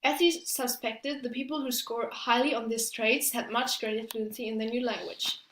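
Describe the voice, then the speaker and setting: A woman giving a prepared academic presentation as a student, halting and stumbling over her words. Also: a little high, steady tone, a bit bright, a little hiss or hum. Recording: echoey room.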